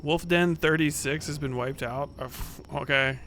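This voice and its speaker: A man's voice: a little high, moderate pace, fairly bright tone, slight background noise. A man streaming a video game, grumbling and swearing to himself as he plays. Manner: profane, grumbling, talking to himself. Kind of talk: casual gameplay commentary.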